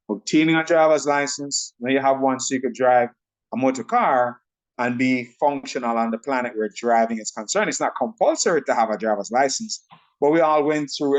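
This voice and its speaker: A man with a Jamaican accent tinged by American and Nigerian speech hosts a group video call. He talks fast and eagerly, running over his words as he pitches. His voice sits at an ordinary middle pitch. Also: poor audio. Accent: Jamaican, blended with American and Nigerian. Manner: excited, speaks fast and runs over words. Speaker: host presenting a trading system. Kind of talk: sales presentation on a group call.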